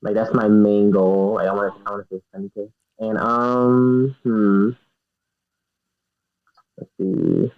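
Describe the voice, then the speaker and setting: A man's voice: on the low side, little pitch movement, slow pace, pauses often. A young man speaking as a guest in a casual interview, hesitant and giggly, leaning on lots of fillers. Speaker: guest wrestler. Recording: dull lo-fi sound.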